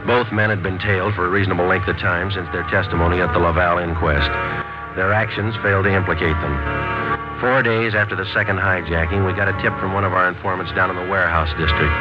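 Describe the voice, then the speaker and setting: A dull vintage radio recording with prominent music carries a man acting a police detective. He is terse and matter-of-fact, speaking low and fast. His clipped delivery stays deadpan throughout. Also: lightly acted. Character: terse, steady male police sergeant and detective narrator. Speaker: lead actor and narrator. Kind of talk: 1950s radio police drama, clipped scripted narration.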